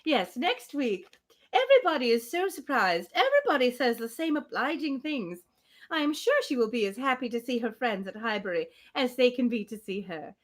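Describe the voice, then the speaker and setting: Gushing spinster gossip, acted by a female reader, high-pitched and breathless, rambling good-naturedly through a classic novel scene. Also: sing-song, moderate pace. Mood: gushing, excited. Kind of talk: classic novel read aloud in character.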